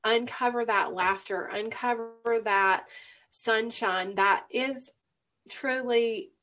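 A woman's voice: a little high, fairly even intonation, slow, fairly bright tone. A middle-aged woman speaking thoughtfully and openly, searching for her words in a casual conversation.

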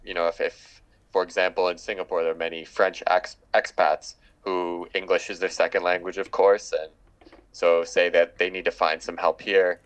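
A man's voice: deep voice, little pitch movement, moderate pace, rough recording. A man hosting a casual conversation, talking thoughtfully and hesitating with fillers.

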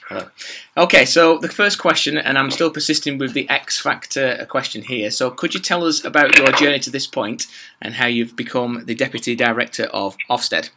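A man's voice: mid-range voice, normal speed, noisy background. A man hosting an education podcast, introducing a guest and asking questions in an upbeat, conversational way. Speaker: podcast host. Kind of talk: podcast interview.

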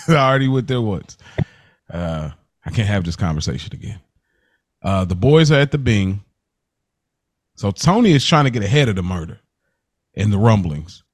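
A man hosting a podcast in a casual recap style, slangy and excitable, often repeating himself for emphasis. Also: on the low side, moderate pace, pauses often, dry recording, studio quality. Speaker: podcast host.

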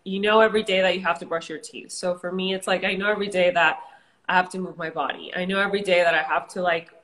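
A woman's voice: on the low side, steady tone, fast talker, a bit bright, low-quality recording. A woman from Tijuana, Mexico, whose first language is Spanish, chatting casually as a guest on a live stream, full of "like" fillers. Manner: chatty, lots of "like" fillers, laughs easily. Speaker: fitness and wellness creator, guest.

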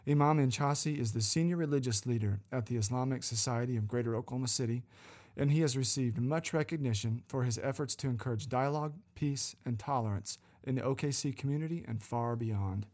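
A man's voice: mid-range voice, a little mellow. A man hosting a podcast and interviewing a guest with an upbeat, polished delivery. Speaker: podcast host, life coach. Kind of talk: scripted intro then interview.

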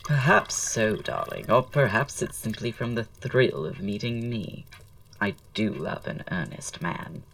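An actor playing a witty, flirtatious poet and dandy in an audio drama, speaking in florid, arch, self-assured period style. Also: male voice, on the low side, big pitch swings, a little slow, gravelly, a bit bright, recorded from a distance, exaggerated voice, rough recording. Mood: teasing, flirtatious. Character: languid, witty, flirtatious male poet-playwright dandy, proud.

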